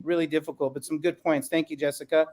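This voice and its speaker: A man's voice: fairly high pitch, flat delivery, quick pace, a little mellow. A man hosting a podcast panel as an educator, speaking in an earnest, emphatic way.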